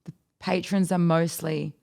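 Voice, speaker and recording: A woman's voice: on the low side, little pitch movement, unhurried, creaky, mellow. A young Australian woman co-hosting a casual podcast, asking questions and adding dry quips. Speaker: podcast co-host. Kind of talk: casual chat.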